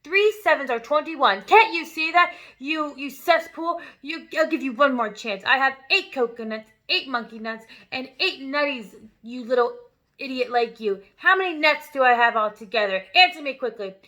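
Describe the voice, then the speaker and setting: A woman's voice: on the high side, animated, normal speed, hardly any pauses. A woman reading a story aloud, voicing a tyrannical headmistress who barks insults at a pupil. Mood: bellowing, bullying. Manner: barking insults, loud and harsh. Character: bullying tyrannical headmistress, woman, huge, furious.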